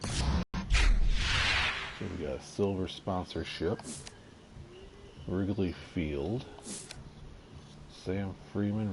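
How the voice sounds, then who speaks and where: A man casually commenting on his gameplay, slightly nasal from allergies, halting with lots of fillers. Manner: halting, many fillers, unhurried and low-key. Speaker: gaming video creator. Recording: audible background noise.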